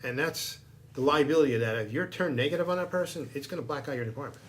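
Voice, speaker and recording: A man talks at a quick clip in a medium-pitched voice. He is a fire chief giving his opinions in a casual discussion, blunt and emphatic, often repeating a phrase for weight. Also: a bit bright, slight background noise.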